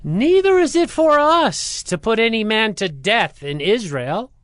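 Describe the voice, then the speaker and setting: Man acting animated, aggrieved ancient spokesman. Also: high voice, measured pace, smooth, dry recording, clean studio sound, exaggerated voice. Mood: grim, aggrieved. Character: grim, aggrieved Gibeonite spokesman seeking retribution. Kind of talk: dramatized scripture acting.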